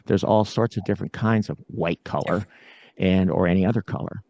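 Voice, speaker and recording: A man's voice: low voice, fairly even intonation, a little fast, mellow. A middle-aged man hosting a podcast interview, chatty and self-deprecating, prone to interrupting. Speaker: podcast host. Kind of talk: casual interview chat. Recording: dull, slightly lo-fi sound.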